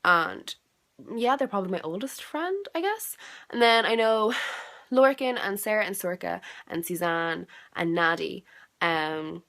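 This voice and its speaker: A woman's voice: medium pitch, expressive, a little slow, fairly bright tone. A young woman with a South Dublin Irish accent and a cold, chatting casually from bed at home, rambling with lots of "like" and "um" and the odd swear. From Ireland. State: has a cold, congested. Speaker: vlogger. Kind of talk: casual chat answering a video challenge.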